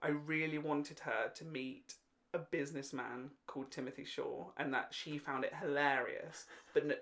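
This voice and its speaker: Animated, jokey young man, casual co-host. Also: fairly high pitch, normal speed. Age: late 20s. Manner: animated, jokey, tangent-prone, sweary. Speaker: podcast co-host. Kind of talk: casual fan podcast chat.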